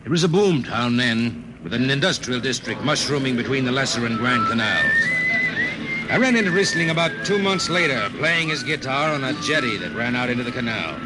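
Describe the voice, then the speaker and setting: A man's voice: medium pitch, animated, brisk, loud music behind the voice, close to an everyday voice. An actor playing a gritty, wistful spaceman narrator in a hard-boiled 1950s radio drama. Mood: wry, reflective. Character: weathered spaceman narrator, gritty, wistful old shipmate of a singer. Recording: old 1950s radio broadcast, noisy.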